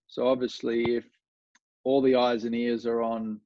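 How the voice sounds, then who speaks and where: A man's voice: medium pitch, flat delivery, a little slow, dry recording, highs cut off. An Australian man, a golf coach, talking casually in an interview, rambling with lots of fillers and restarts.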